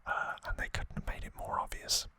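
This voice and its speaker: A man hosting an ASMR video, giving a casual recap in a breathy, unvoiced whisper with a halting, intimate delivery. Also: bright, forceful tone, no echo.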